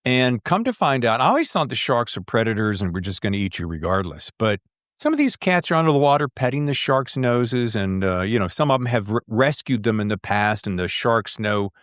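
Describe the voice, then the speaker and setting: A man's voice: mid-range voice, big pitch swings, fairly quick, fairly bright tone, no room sound, clean studio sound. An American man hosting a conversational book interview, effusive and rambling as he thinks aloud. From United States. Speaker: podcast host interviewing an author.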